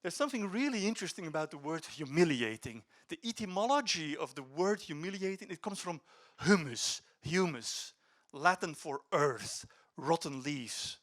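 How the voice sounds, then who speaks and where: Man, middle-aged, public talk, anecdotal, reflective, slightly high voice. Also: animated, moderate pace, fairly bright tone. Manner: anecdotal, reflective, quotes dialogue animatedly. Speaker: speaker at a youth debate. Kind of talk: storytelling talk to an audience.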